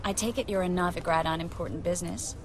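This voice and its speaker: An actress playing a self-possessed young sorceress in dramatic dialogue from a fantasy video game. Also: female voice, fairly low pitch, quick pace, a crowd in the background, natural voice. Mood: composed, curious.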